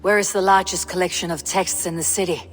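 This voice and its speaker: An actress playing a confident, stoic female Viking warrior with a measured, direct delivery in a video game. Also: fairly low pitch, fairly even intonation, faint music behind, close to an everyday voice. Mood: calm. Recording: long reverb.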